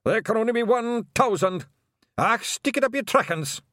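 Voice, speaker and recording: A man's voice: extremely high pitch, animated, normal speed, a bit bright, no room sound, clean studio sound, pitched above the performer's own voice. A man voicing wild little Scottish pixie warriors roaring war cries in an audiobook. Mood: battle fury. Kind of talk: audiobook character acting.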